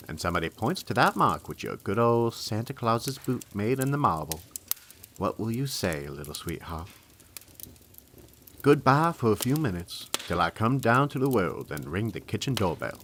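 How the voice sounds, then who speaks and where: A man's voice: fairly low pitch, a bit bright, slight background noise. An actor playing a kindly, playful Santa Claus reading his letter fondly and expressively to a child. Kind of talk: dramatic reading of a letter in audio drama.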